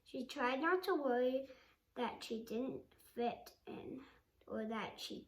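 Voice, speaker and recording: A female reader reading a storybook aloud, haltingly and sounding out some words. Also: high-pitched, distant mic. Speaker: read-along reader. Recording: roomy sound with faint background noise.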